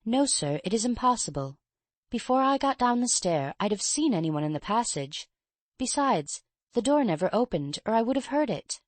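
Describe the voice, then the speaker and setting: Audiobook casting: reader doing a young housemaid, high, emphatic and earnest, dry and close. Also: sing-song, normal speed, no echo, close to an everyday voice. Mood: insistent. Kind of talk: audiobook character reading.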